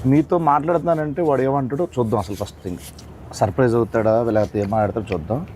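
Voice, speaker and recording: Male interviewer, rapid speech, mid pitch, playful and coaxing, faint background noise. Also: darkish tone. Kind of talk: playful chat-show interview.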